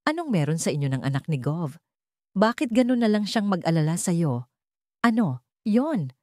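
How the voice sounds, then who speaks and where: A woman's voice: lowish voice, sing-song, moderate pace, no echo, studio quality. A woman voicing a scheming, domineering stepmother in a dramatic audio storybook, with a scolding, insistent manner. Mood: angry, threatening. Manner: scolding, accusatory, sharp-tongued. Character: scheming, domineering stepmother with false sweetness and venom.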